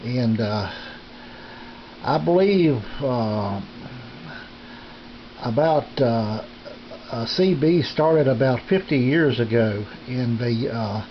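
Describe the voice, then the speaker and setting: A middle-aged or older man talks casually in a halting, folksy way, feeling out his sentences as he goes. He sounds like a hobbyist showing off his gear at home. The handheld camera audio is dull and lo-fi, with faint noise behind him. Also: mid-range voice, expressive. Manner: halting, hesitant, folksy delivery. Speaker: radio hobbyist presenting his equipment. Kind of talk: casual unscripted show-and-tell. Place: small home radio room. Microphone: handheld camera's built-in microphone.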